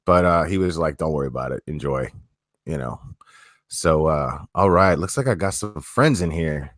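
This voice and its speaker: Deep-voiced man rambling on a livestream. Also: lively intonation, normal speed, fairly bright tone, dry recording, studio quality. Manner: rambling, repeats words, swears casually. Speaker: livestream host. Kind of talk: casual livestream chat.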